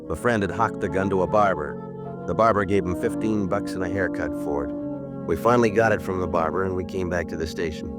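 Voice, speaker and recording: An American man playing a terse, matter-of-fact police sergeant, narrating a 1950s radio crime drama in a clipped, deadpan way. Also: deep voice, fairly quick, natural voice. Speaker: lead actor and narrator. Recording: old radio broadcast, music behind.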